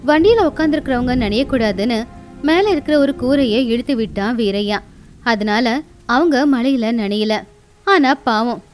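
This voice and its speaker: A woman's voice: high-pitched, darkish tone. A woman narrating a scripted moral tale as a storyteller.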